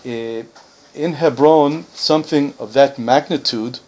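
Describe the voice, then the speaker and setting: A man's voice: mid-range voice, measured pace, a little mellow, rough recording. A man speaking for a community, answering a visiting group's questions in a conversational way, with many fillers and self-corrections.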